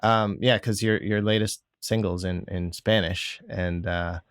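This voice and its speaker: Man, interviewer, low voice, relaxed questions, dry close sound. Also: unhurried, clear, resonant tone, no room sound.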